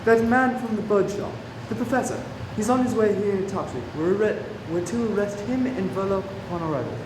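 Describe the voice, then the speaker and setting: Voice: mid-range voice, fairly quick, close to an everyday voice. An actor playing an earnest, anxious Scotland Yard agent in a radio play. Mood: brisk. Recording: very echoey room, clearly noisy.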